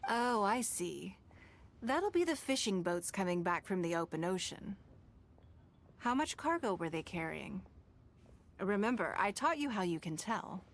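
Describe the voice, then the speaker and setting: An actor, a woman, playing a poised, gracious and shrewd noblewoman in a video game, composed and measured. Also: medium pitch, big pitch swings, a little hiss or hum. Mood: gently instructive.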